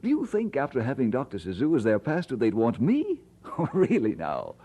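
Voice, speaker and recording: Earnest, husky, expressive young preacher. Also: male voice, medium pitch, moderate pace, highs cut off. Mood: modest, flustered. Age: young adult. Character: earnest young Scottish male preacher, playful and devoted. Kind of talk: audio drama acting.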